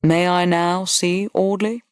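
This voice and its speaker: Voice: mid-range voice, level tone, takes it slowly, clean tone, no echo, studio quality, pitched above the performer's own voice, natural voice. A narrator voicing a stiff, bereaved young officer in an audiobook, terse, formal and harsh. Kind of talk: audiobook character dialogue.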